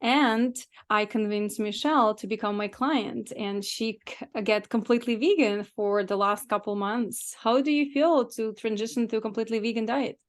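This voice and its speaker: A woman's voice: a little high, fairly even intonation, moderate pace, few pauses. A woman hosting a casual interview, chatting in an effusive, complimentary way with her guest.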